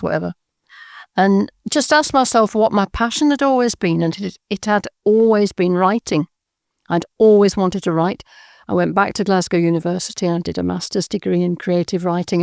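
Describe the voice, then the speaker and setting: A woman's voice: on the low side, vocal fry, a little mellow, dry recording, clean studio sound. A woman in her sixties from Birmingham, talking thoughtfully as an interview guest, with frequent ums and repeated words. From England, Birmingham. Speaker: guest, audio drama writer and director. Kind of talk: casual interview chat.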